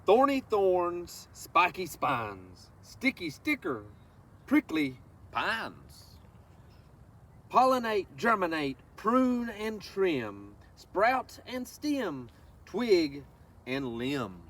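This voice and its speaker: Male children's read-along presenter, unhurried and very animated, folksy direct address, recorded a little far from the mic. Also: high-pitched. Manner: instructive, addresses listeners directly with folksy asides and questions. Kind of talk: reading a picture book aloud with asides to children. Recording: distant microphone with faint background noise.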